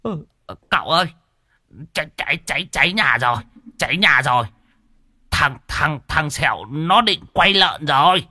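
A man's voice: on the high side, animated, moderate pace, no echo, clean studio sound, pitched above the performer's own voice, full character voice. A man voicing a timid old man who stammers in fear, with a Northern Vietnamese accent, in an audio story. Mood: frightened. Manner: stammering, hushed.

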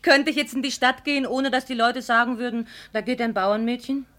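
A woman's voice: on the high side, fairly even intonation, a little fast, fairly bright tone, close to an everyday voice. A woman acting a modest, dutiful seventeen-year-old peasant girl in a 1950 radio drama, speaking plainly. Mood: shyly interested.

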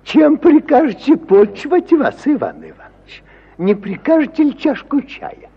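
An actor playing a garrulous, hospitable provincial judge who is easily astonished, in a husky voice, in 1950s Soviet radio theatre style. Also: male voice, huge pitch swings, heavily acted. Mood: coaxing. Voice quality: husky, high-pitched. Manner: rambling, drawn-out, swooping exclamations. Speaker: actor in an audio play. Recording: muffled 1950 radio play recording.

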